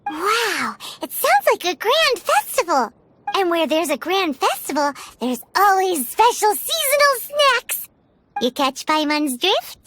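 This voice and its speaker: A woman voicing a small, cheeky, childlike floating fairy companion with a squeaky, excitable delivery in a video game. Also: unhurried, heavily acted. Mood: excited. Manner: refers to herself in third person, excitable. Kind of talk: video game character dialogue.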